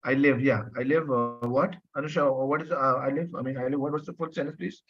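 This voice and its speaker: A man's voice: mid-range voice, fairly even intonation, fast. A man teaching a French class online, patiently explaining phrases, repeating himself and asking students questions. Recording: dull lo-fi audio.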